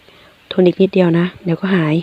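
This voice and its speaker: Brief: woman reads a gruff campus tough guy gone tender, unhurried, low, muffled audio. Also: steady tone, natural voice. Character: young male tough-guy student, possessive yet tender.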